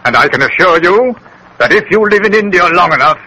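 An actor playing a grave, assured colonel in a 1930s radio drama, speaking in a formal, declamatory, lecturing way. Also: male voice, sits high, animated, a little fast, pushed and bright, breathy edge, full character voice. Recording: noisy 1930s radio recording.

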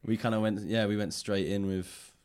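Male interviewer, low register, casual chat. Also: steady tone, normal speed, a little mellow. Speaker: podcast host and interviewer. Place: indoors in a public library room.

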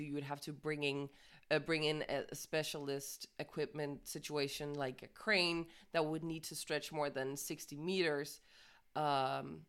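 A woman co-hosting a podcast, reading news casually, stumbling over words, swearing and laughing often. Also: deep voice. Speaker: podcast co-host.

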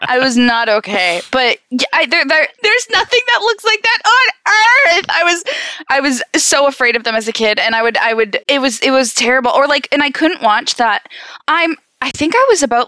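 High, bright, excitable woman. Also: moderate pace, dry recording. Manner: excitable, emphatic, giggly. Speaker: podcast co-host. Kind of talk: casual group chat.

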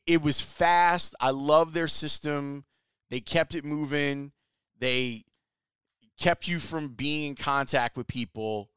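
A man's voice: on the high side, fairly bright tone, clear, resonant tone, no echo, studio quality. A man casually telling a travel story in a chatty, enthusiastic way, using slang. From Chicago, US. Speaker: sports reporter.

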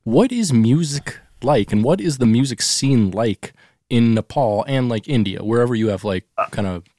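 A man's voice: medium pitch, expressive, moderate pace, warm tone, no echo, clean studio sound. A man in his thirties from Alaska co-hosting a casual podcast, wry and rambling. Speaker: podcast co-host. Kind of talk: casual chat.

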